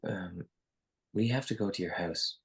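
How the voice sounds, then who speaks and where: An actor playing a flustered, deferential regional director who stammers, in a live screenplay table read. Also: male voice, low-pitched, fairly bright tone, slightly rough, full character voice. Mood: anxious. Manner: halting, stammering.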